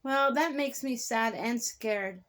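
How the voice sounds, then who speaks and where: A woman's voice: a little high, slow, fairly bright tone. A woman voicing a sweet, timid, childlike dinosaur friend who speaks simply and earnestly in a children's storytime. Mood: sad, scared. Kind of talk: children's storytime puppet-style dialogue.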